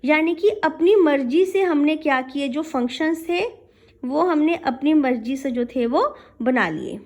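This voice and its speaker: A woman's voice: sits high, expressive, unhurried, a little mellow. A woman giving an explanatory lecture as a programming teacher, asking and answering her own questions with frequent check-in tags and mixing in English technical terms.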